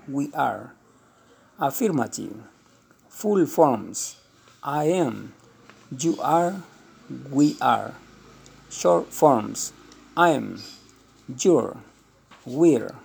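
Slow, gravelly man reading a textbook. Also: medium pitch, a little mellow. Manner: slow, deliberate, reads every heading and example aloud. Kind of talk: slow textbook read-aloud.

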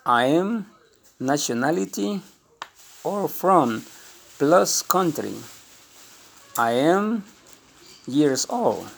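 A man reading an English textbook aloud slowly and deliberately, speaking every heading and example. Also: on the high side, vocal fry, husky. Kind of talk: slow textbook read-aloud.

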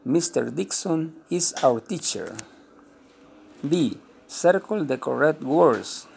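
A man reading an English textbook aloud slowly and deliberately, speaking every heading and example. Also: on the high side, animated, creaky, a little mellow, a little hiss or hum. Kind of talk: slow textbook read-aloud.

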